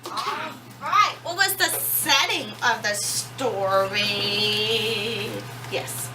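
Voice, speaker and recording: High-voiced woman teaching in an echoey room. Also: very slow. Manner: repeats children's answers back to them. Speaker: student teacher leading young children. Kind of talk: classroom question-and-answer with young children. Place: classroom, indoors, away from the mic. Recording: echoey, noisy classroom from far off.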